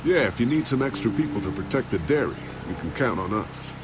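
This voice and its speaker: An actor playing a steady, earnest survivor in a video game, speaking in a measured, protective way. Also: male voice, on the low side, background noise. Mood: businesslike.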